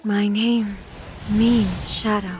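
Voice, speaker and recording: Video game casting: grave immortal female ruler, stately and formal, measured delivery, music behind. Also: medium pitch, steady tone, darkish tone. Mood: sorrowful, solemn. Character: solemn, regal female shogun deity devoted to eternity. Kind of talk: dramatic video game acting. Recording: studio voice over background music.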